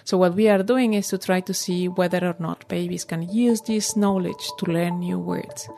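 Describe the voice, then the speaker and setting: A woman's voice: fairly low pitch, steady tone, moderate pace, gravelly, mellow, faint music behind. A woman researcher explaining her lab experiments carefully, with small restarts.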